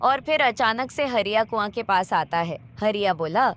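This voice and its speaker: A woman's voice: mid-range voice, expressive, normal speed, a bit bright. A woman telling a story as narrator, reading scripted narration. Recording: faint background noise.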